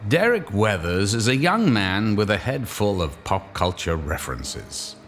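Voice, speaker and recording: An actor playing a grim, sardonic narrator of horror tales, giving dramatic scripted narration. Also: male voice, on the low side, big pitch swings, a little slow, a bit bright, soft background music. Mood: sardonic, ominous.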